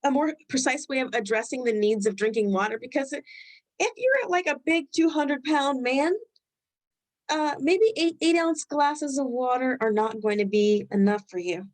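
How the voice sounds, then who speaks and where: A woman with a Rhode Island accent hosting a live health webinar, talking loosely and thinking out loud as she goes. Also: high-pitched, moderate pace. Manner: loose, conversational, thinks aloud while reading the chat. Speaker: webinar host.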